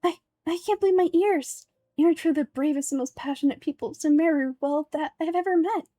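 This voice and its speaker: Woman playing a sweet high-voiced young dancer girl. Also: fairly quick, mellow, no room sound, studio quality, lightly acted. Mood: surprised, delighted. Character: sweet, eager, wide-eyed young female dancer.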